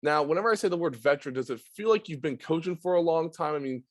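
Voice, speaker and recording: A man hosting a high school basketball podcast, asking long questions padded with fillers. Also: animated, quick pace, dry recording, studio quality. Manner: chatty, filler-heavy questions. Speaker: podcast host. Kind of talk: sports interview podcast.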